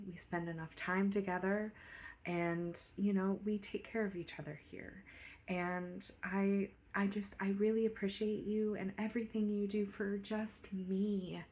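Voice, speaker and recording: A woman as a quiet, measured bank manager. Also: lowish voice, close to an everyday voice. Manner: quiet, measured, hesitant with fillers. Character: soft-spoken, supportive woman bank manager. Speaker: branch manager.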